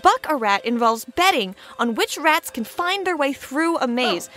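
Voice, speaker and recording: A woman playing a brisk, exasperated female agent who poses as a gambler's wife. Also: high-pitched, sing-song.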